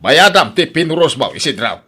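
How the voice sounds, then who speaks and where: An actor playing a grumpy, stingy old husband in a radio drama, scolding and complaining with an Ilocano accent. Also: male voice, a little high, sing-song, fairly quick, pushed and bright, slightly rough, full character voice. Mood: angry, scolding. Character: grumpy, stingy old husband guarding his fruit trees. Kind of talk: Filipino radio drama acting.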